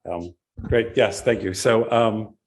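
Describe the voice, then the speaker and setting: A man presenting an academic paper at a symposium, then answering a question with pauses and restarts. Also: lowish voice. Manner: measured, with frequent fillers and restarts in answers.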